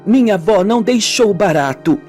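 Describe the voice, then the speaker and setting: A woman with a Brazilian accent playing a wounded, brooding woman confessing her childhood in a melodramatic, deliberate radio soap style. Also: medium pitch, expressive, dark tone, close to an everyday voice. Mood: bitter. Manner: slow, melodramatic, deliberate storytelling. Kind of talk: amateur radio soap acting. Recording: music bed under the voice.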